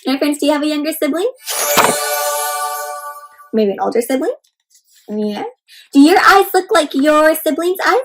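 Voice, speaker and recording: A woman hosting an interactive read-aloud for children, speaking in an animated, child-directed way with questions and exclamations. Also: sits high, normal speed, long pauses, over music. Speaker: host reading a picture book aloud to children.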